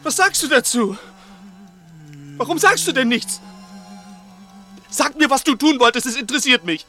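A man's voice: very high-pitched, animated, moderate pace, sharp tone, faint music behind, heavily acted. A male actor playing a nervous, resentful small-time crook in an agitated, insistent way in a 1960s German radio play. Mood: taunting, agitated. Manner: agitated, insistent, repeating himself.

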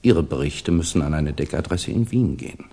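An actor playing a suave, insinuating Russian official in a 1960s German radio drama, speaking with deliberate, false courtesy. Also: male voice, very deep voice, lively intonation, gravelly, dark tone, breathy edge, heavily acted. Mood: smooth, insinuating.

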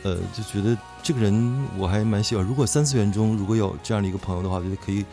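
A man with a fairly low voice talks quickly over background music. He chats casually as a guest, hesitating and repeating little words of agreement. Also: dark tone. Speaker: voice actor guest. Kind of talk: casual interview chat. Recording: music behind the voice.

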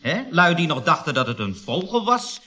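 An actor playing an eager, fussy underling explaining events in an old radio comedy, with a Netherlandic Dutch accent. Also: male voice, a little high, big pitch swings, moderate pace, bright, forceful tone. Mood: excited. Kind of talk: 1970s radio comedy acting.

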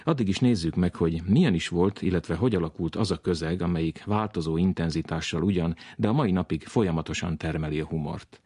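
A man's voice: low-pitched, few pauses, dark tone, breathy edge, no echo, studio quality. A man presenting a radio programme with scripted narration that links the interviews.

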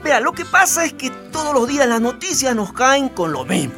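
Gossipy ecuadorian macho guy, swoopy sharp voice, slangy sweet talker, radio soap w faint music. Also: extremely high pitch, big pitch swings, moderate pace, heavily acted. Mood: cajoling. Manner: slangy, cajoling, tag questions. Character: brash, gossipy macho Ecuadorian buddy, teasing and sweet-talking. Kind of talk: radio soap opera acting.

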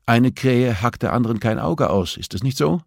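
Casting: male reader as female prison therapist, audiobook. Measured, polite, probing, pitched a little up, close dry sound. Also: expressive, creaky, dry recording. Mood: probing, calm. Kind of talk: audiobook character dialogue.

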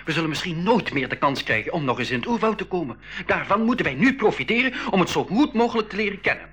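A man's voice: sits high, big pitch swings, fast, a bit bright, slightly rough, exaggerated voice. A man playing an eager young boy adventurer who narrates his journey in a school radio drama. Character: eager boy adventurer, Marleen's younger brother, telling the tale. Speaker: narrator and character in a radio play. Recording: dull 1980s radio recording.

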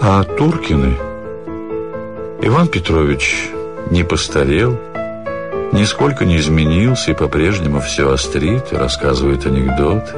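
A man reading a literary story theatrically, with expressive, measured phrasing and dramatic pauses. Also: rumbling low. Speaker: actor reading a short story. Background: music.

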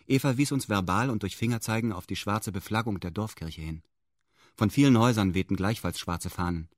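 Actor as a low-voiced, detached male narrator. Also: brisk, no room sound, clean studio sound, close to an everyday voice. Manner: formal, detached, meticulous. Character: dry, meticulous male first-person narrator. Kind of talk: scripted radio play narration in reported speech.

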